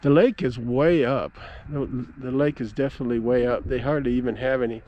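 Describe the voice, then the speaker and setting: A middle-aged man giving a casual, halting, folksy account as he vlogs outdoors at a park. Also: mid-range voice, lo-fi sound. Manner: halting, folksy, stop-and-start storytelling. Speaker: amateur radio operator vlogging. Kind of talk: casual rambling outdoor vlog. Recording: clear ambient noise outdoors. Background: ambient outdoor noise.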